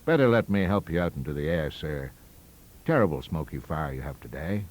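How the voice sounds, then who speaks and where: A man's voice: a bass voice, expressive, fairly quick, a little mellow. A man voicing a big, stolid, deferential ex-navy college porter in a ghost story.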